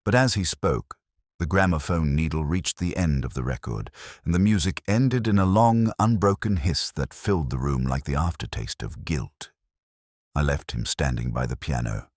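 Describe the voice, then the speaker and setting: A man playing a brooding, melancholy police detective, narrating his story in a measured, solemn, literary manner. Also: a bass voice, no room sound, studio quality, full character voice.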